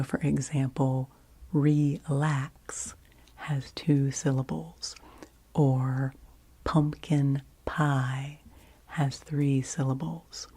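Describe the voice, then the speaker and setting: Woman doing asmr, low breathy whisper, super slow and gentle, walks you thru stuff step by step. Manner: slow, gentle, instructional, repeats phrases. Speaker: host of a relaxation ASMR show. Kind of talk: whispered ASMR, leading word games.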